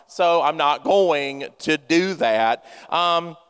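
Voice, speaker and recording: A man's voice: a little high, expressive, slow pace, a bit bright, smooth. A man in his thirties preaching a humorous sermon, playful and self-mocking, talking straight to his congregation. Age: 30s. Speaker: pastor. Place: church sanctuary. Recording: long church hall reverb.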